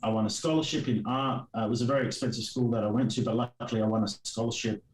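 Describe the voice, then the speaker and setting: An Australian man in his forties whose first language is Greek Cypriot chats loosely in an interview, looping through fillers and restarts. His voice sits at an ordinary middle pitch and comes from a distance in a roomy space. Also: fairly even intonation, quick pace. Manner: rambling, many fillers and restarts. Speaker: guest comic creator. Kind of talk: casual interview chat.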